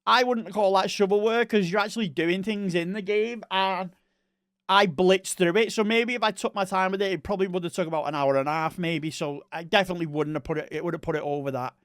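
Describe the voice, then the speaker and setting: A man with a Northwest English accent that blends Manchester and Liverpool sounds chats casually as a streamer, rambling and correcting himself mid-sentence. His voice sits a little high and sounds smooth and clean. The recording is dry and close, with no room sound. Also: a little fast, a bit bright, no room sound. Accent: Northwest English, mix of Manchester and Liverpool. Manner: rambling, chatty, self-correcting. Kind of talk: casual live-stream chat reading viewer messages.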